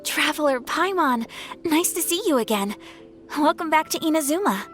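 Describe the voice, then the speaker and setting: A woman's voice: sits high, animated, moderate pace, over music. An actress playing a refined, courteous young noblewoman with a formal, poised, explanatory manner in a video game. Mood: welcoming.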